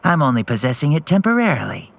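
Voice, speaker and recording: A man's voice: on the high side, sing-song, fast talker, creaky, a little hiss or hum. A man playing a jokey ancient hero spirit in a borrowed child's body in a video game, theatrical and mock-formal. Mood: breezy, teasing.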